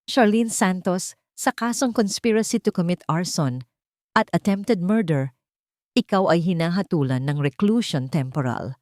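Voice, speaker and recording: A woman voicing a solemn, authoritative judge in a story, with formal, measured delivery. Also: on the low side, animated, a little mellow, no echo, clean studio sound.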